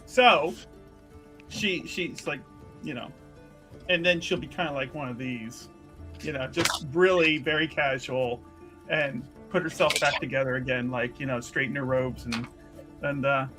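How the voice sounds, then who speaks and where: A man's voice: medium pitch, measured pace, over music. A man casually narrating his character's actions and bantering as a tabletop roleplaying player.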